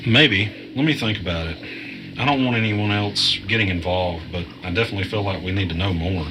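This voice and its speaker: A man's voice: low-pitched, brisk, close to an everyday voice. An actor playing a wary, nervous audio editor telling his uneasy first-person story in an audio drama. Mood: uneasy, reluctant. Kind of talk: audio drama narration and dialogue. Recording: clearly noisy background.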